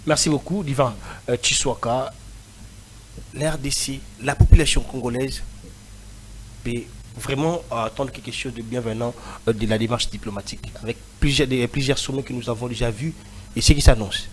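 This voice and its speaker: Tv debate moderator guy, quick questions to the panel, a bit of background hiss. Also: mid-range voice, fairly even intonation. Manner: brief, passes questions to guests.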